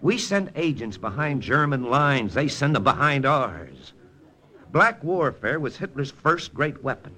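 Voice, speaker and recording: An actor playing an earnest, persuasive American wartime intelligence lieutenant in an old radio drama, with an urgent, dramatic delivery. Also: male voice, mid-range voice, sing-song, a little slow, sharp tone. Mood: urgent, emphatic. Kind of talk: 1940s-50s radio drama acting with first-person narration. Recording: vintage radio recording with faint music.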